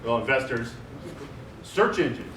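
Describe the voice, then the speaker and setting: A man with a loud voice giving a chatty panel talk and putting questions to the audience. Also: mid-range voice, expressive, a little slow. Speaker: panel speaker. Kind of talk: conference presentation. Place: large office meeting room. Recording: echoey room, distant mic, noisy.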